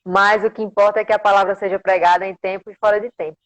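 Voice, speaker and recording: A woman preaches on a devotional livestream in a medium-pitched voice. She has a strong accent from the interior of Paraíba in northeastern Brazil. Her delivery is reverent and encouraging. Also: flat delivery, fast talker. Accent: strong rural Paraíba Northeastern Brazilian. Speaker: guest preacher. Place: remote social media livestream.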